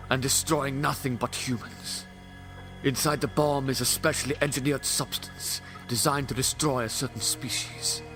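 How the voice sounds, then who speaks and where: A man's voice: medium pitch, natural voice. A man playing an indignant, grave alien time traveller in an impassioned, declamatory audio drama style. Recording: fan audio play under loud music.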